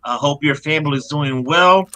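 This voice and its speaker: A man hosting a comic book panel on a live stream, laid-back and loose. His voice sits a bit high and sounds bright and forceful, and he drops "man" into most sentences. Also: normal speed.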